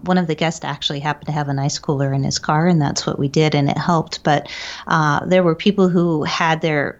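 A woman's voice: low voice, dull audio. A woman recounting events conversationally as a guest in an interview. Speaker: podcast guest, paranormal investigator.

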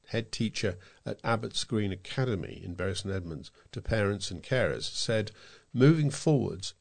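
A man reads local news from a script as a volunteer newsreader. His voice sits fairly low, and he delivers each item in a measured, read-aloud way.

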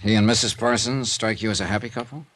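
An actor playing a probing, coolly businesslike insurance investigator in a 1950s American radio drama, with measured, clipped questioning. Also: male voice, lowish voice, fairly even intonation, a bit bright. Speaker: star of a radio detective drama. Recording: 1950s radio recording with music.

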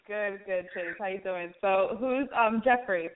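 A woman's voice: medium pitch, measured pace. A woman hosting a casual, conversational interview in a chatty, effusive manner. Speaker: interview show host. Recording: tinny narrow phone-line sound.

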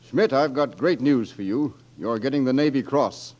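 An actor playing a brisk, authoritative military officer who speaks matter-of-factly, in 1940s radio acting. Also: male voice, medium pitch, darkish tone, natural voice. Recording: dull lo-fi old radio recording.

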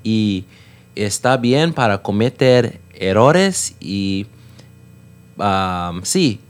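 Halting English-speaking man learning Spanish. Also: lowish voice, animated. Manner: halting learner's Spanish with many fillers and self-corrections. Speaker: podcast guest. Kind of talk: casual chat.

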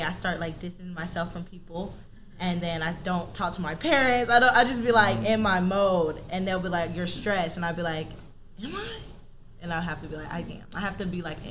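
A woman's voice: on the low side, brisk, slight background noise. A 22-year-old woman on a podcast panel, talking emphatically and acting out what people think and say. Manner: emphatic, animated, acts out quoted thoughts. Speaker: podcast co-host. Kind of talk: casual roundtable chat.

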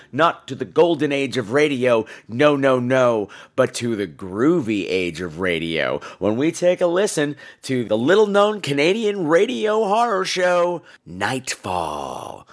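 A man hosting a podcast in a casual, playful, campy way, hoarse and gravelly with laryngitis. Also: on the high side. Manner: campy, playful, theatrical drawn-out words. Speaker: horror podcast host.

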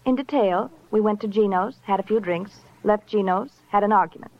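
A woman's voice: mid-range voice, normal speed, natural voice. A woman playing a flirtatious, sardonic, worldly fiancée in a 1950s radio crime drama, languid and knowing. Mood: coy, sardonic. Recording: dull vintage radio recording.

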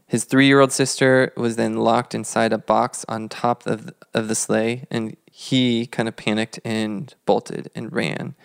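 A man in his thirties tells history conversationally as a podcast co-host. His voice is smooth and fairly even, with a noticeable creak, and his delivery is measured and reflective. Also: medium pitch, no room sound, clean studio sound.

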